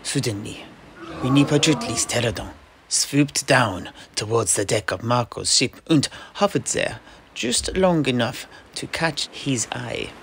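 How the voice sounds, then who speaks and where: A man playing a courteous mercenary knight, telling a fantasy sea tale in a formal but halting manner. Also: mid-range voice, gravelly, fairly bright tone, slight background noise. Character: courteous mercenary knight retelling his grandfather's sea adventure. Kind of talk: in-character fantasy storytelling.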